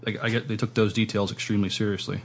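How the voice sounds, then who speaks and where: A man hosting a casual podcast interview, with hesitant, halting phrasing. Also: lowish voice, flat delivery, husky. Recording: noticeable background noise.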